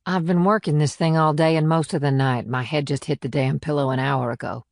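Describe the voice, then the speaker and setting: Gruff small-town policewoman, female actor, low husky voice, blunt and salty, audiobook drama, very dry sound. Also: steady tone, brisk, a little mellow, no room sound. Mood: weary, blunt. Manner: blunt, irritable, salty-tongued. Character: gruff, groggy, sharp-tongued small-town policewoman who smokes. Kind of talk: audiobook character acting.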